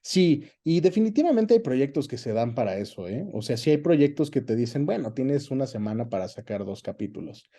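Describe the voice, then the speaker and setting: Male, Mexican accent, mid-pitched and very mellow. Interview guest, explains colloquially with examples. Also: big pitch swings, a little fast, hardly any pauses. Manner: explanatory, colloquial, uses examples and frequent tag questions. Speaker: interview guest, dubbing director. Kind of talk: conversational interview.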